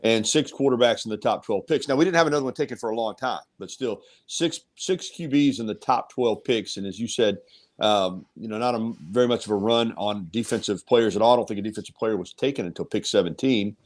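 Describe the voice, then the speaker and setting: A man's voice: lowish voice, brisk. A middle-aged or older man giving conversational sports commentary, emphatic and anecdotal, repeating phrases for effect.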